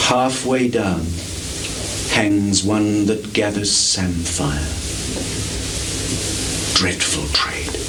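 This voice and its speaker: A shakespearean son pretending to be a poor guide, anxious and tender, really drawn out, noisy old stage tape. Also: male voice, fairly low pitch. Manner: theatrical, deliberate, vividly descriptive. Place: small theatre stage, filmed live. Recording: noisy 1980s live theatre recording, steady hiss.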